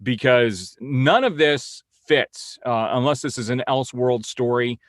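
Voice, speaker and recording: A man's voice: mid-range voice, a little slow, a bit bright, dry recording, clean studio sound. A man hosting an informal news update and opinion vlog, speaking in a blunt, opinionated way. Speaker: host of a comic-book film news vlog.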